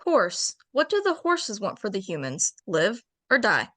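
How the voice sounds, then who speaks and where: A woman reading a brisk, bright she-bear. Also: medium pitch, huge pitch swings, dry recording, heavily acted. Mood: officious. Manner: brisk and procedural. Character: orderly, officious female bear council member. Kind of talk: radio drama table read.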